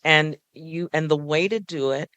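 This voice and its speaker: A man's voice: a little high, a little slow, pushed and bright, smooth, no room sound. A man, a folklorist, speaking to a live audience on a panel in a small café, earnest and coaxing, often putting questions to the crowd.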